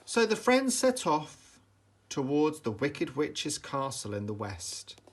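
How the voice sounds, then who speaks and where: Animated man reading a children's story. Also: a little high, slight background noise. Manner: animated, dramatic, deliberate emphasis for young listeners. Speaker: storybook reader, teacher.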